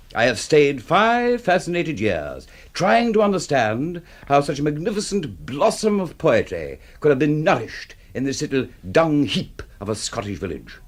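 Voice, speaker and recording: Pompous literary windbag, wildly swooping. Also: male voice, on the high side, wildly swooping pitch, moderate pace. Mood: boastful. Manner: pompous, florid, declamatory. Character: bombastic, hard-drinking middle-aged literary critic, grandiloquent and scornful. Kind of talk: radio drama acting.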